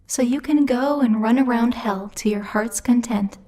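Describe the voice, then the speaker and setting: Woman acting as haughty female demon lord, higher-pitched, soft mellow tone, imperious, mocking, ASMR roleplay. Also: normal speed, lightly acted. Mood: cold, mocking.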